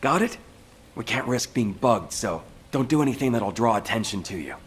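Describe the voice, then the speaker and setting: An actor playing an earnest, energetic male task force soldier in a video game, direct and husky-voiced. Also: mid-range voice, normal speed, slight background noise, lightly acted. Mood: brisk, secretive. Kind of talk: video game cutscene acting.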